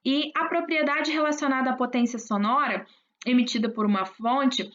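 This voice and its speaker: High-voiced woman teacher, lo-fi recording. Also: normal speed, fairly bright tone. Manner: explanatory, addresses students with frequent check-ins. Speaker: science teacher. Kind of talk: recorded class explanation. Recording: dull lo-fi recording.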